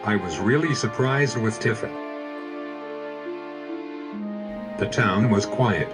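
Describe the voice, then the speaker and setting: A brooding young ex-soldier played by a man narrates in a game fan dub. The voice is fairly low, serious and reflective, with loud music behind it. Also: normal speed, lightly acted. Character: brooding young ex-soldier recounting his past.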